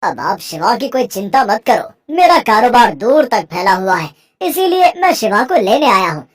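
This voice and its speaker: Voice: mid-range voice, very animated, normal speed. An actor voicing a scheming sorcerer who poses as a kindly uncle, persuasive and ingratiating, in a cartoon moral story. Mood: smooth persuasion. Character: scheming male sorcerer posing as a sweet-talking uncle. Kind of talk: animated Hindi moral story acting.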